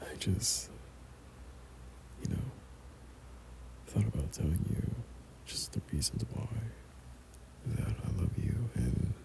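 A young man doing intimate boyfriend roleplay ASMR in a breathy, often whispered voice, speaking tenderly and hesitantly. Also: deep voice, a little hiss or hum, low-quality recording. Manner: tender, hesitant, softly chuckling. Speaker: boyfriend ASMR audio performer.

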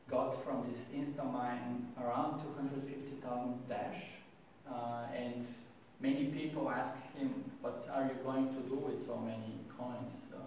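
A young man giving a meetup talk to a small audience, hesitant with many pauses and restarts, recorded by a distant camera in a small room. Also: mid-range voice, darkish tone, slight background noise. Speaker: meetup speaker. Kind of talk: presentation and Q&A. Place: small room with wall art and screen. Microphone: far camera, no mic. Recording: echoey room from far off.

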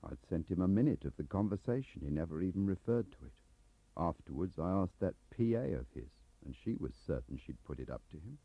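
A man's voice: low voice, lively intonation, a little fast, husky, dry recording, exaggerated voice. A man voicing an aggrieved, lonely middle-aged English clerk who rambles defensively, in an audiobook on a dull cassette recording.

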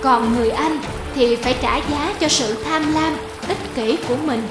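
A woman's voice: high-pitched, normal speed, echoey room, over music. A woman reading scripted narration for a folk tale.

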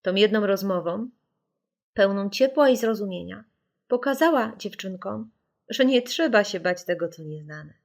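Woman, children's audiobook narrator, animated delivery, expressive storytelling, background music. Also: mid-range voice, normal speed, vocal fry.

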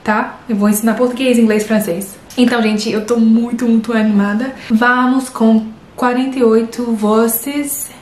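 A woman's voice: on the high side, steady tone, moderate pace, a little mellow. Chatty woman, roomy living room. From Canada. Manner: upbeat, chatty, friendly asides. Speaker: reaction video host. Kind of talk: casual chat to viewers. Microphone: earphones with inline mic. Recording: roomy, faint hiss.

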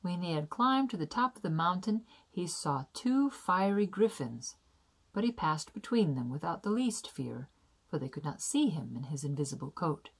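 A young adult woman reading a children's fairy tale aloud in a deliberate, careful storybook manner. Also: lowish voice, big pitch swings, husky. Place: small indoor room.

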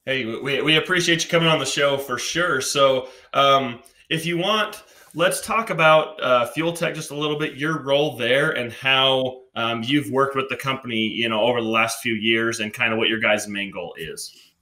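A man's voice: mid-range voice, moderate pace, sharp tone, reverberant. A man in his thirties hosting a casual car show with an upbeat, enthusiastic manner, wearing a headset in a garage studio. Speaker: show host. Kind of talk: casual podcast chat.

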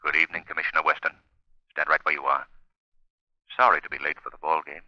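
An actor playing an ominous, commanding invisible crime fighter in 1930s radio drama, speaking gravely through a filter. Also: male voice, a bass voice, level tone, fast talker, no echo. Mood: stern. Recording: dull, lo-fi 1930s radio recording.

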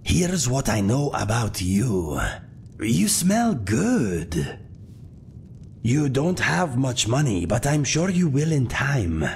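A man acts out a feline adventurer from a fantasy video game, speaking slowly with a gravelly creak. He is wry and honorable, with formal, deadpan phrasing and a lively, swinging intonation. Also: medium pitch, a little hiss or hum. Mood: wry, casual. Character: feline Khajiit adventurer, wry, guilt-ridden, honorable companion. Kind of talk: video game character dialogue.

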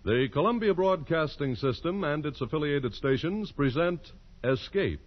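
A man's voice: on the high side, lively intonation, moderate pace. A man reading a formal, scripted network announcement in 1940s radio style. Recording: muffled old radio recording.

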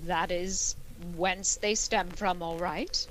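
A woman's voice: fairly low pitch, slow pace. Woman acting a dry, wry schoolteacher, noisy recording. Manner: dry, deadpan wisecracks. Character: wry, sardonic female high school English teacher. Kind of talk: old-time radio comedy acting.

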